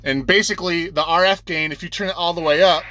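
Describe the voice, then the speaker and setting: Guy doing a casual how-to, kinda higher voice, really bright, plain talking, goes step by step and repeats himself. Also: steady tone, fast talker, a little hiss or hum. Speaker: instructor explaining CB radio settings to beginners. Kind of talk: casual off-the-cuff tutorial.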